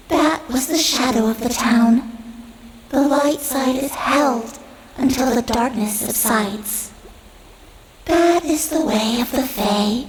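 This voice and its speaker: A woman playing a serene, grave elven guardian in a fantasy audio drama, speaking in a measured, solemn way. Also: sits high. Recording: roomy echo, audible background noise.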